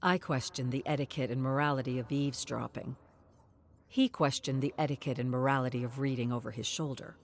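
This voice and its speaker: A man reading a scripted journal as a dramatic narrator, in a measured, literary storytelling manner. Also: mid-range voice, fairly even intonation, slight background noise.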